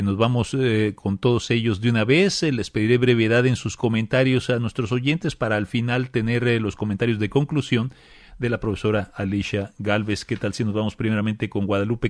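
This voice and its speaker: Male radio host, low voice, dry studio sound, measured delivery. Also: no echo. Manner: measured, frequent "eh" fillers. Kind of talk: radio talk show hosting.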